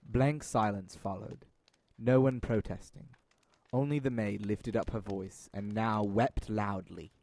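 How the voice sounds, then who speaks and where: A man's voice: lowish voice, steady tone, measured pace, darkish tone, slight background noise. A man reading scripted narration for an audio drama as announcer and storyteller.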